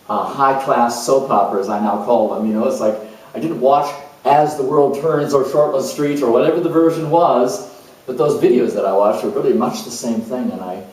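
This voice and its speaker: An actor playing a calm, spiritual hospice coordinator who speaks in an earnest, searching way with many pauses. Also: male voice, mid-range voice, natural voice. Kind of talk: dramatized monologue. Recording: very echoey room, mic far off.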